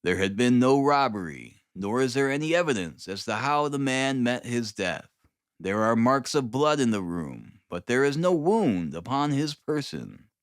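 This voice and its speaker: A man narrating a classic novel as an audiobook, expressive, with deliberate, dramatic phrasing. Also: medium pitch, no echo, clean studio sound.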